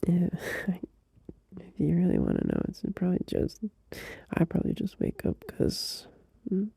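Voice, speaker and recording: Male performer as a tender young boyfriend at night. Slow, breathy whisper with gravelly fry, close and dry. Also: high-pitched, huge pitch swings, dry recording, exaggerated voice. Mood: tender, sleepy. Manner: soft drowsy whisper, unhurried, with small laughs. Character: gentle, loving young boyfriend soothing a partner at night. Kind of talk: ASMR audio roleplay. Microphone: close mic.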